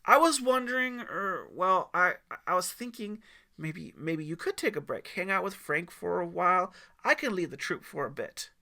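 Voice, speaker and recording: Man acting a teenage boy scout, squeaky cracking voice, high-pitched, bright, flattering, earnest, audiobook story. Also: moderate pace, vocal fry, full character voice. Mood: eager, wheedling. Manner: earnest, stammering, wheedling.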